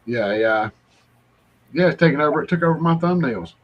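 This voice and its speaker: A man's voice: on the high side, animated, normal speed, muffled recording, a little hiss or hum. A man co-hosting a casual livestream, talking in a laid-back, folksy way with short interjections. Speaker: livestream co-host. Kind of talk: casual chat.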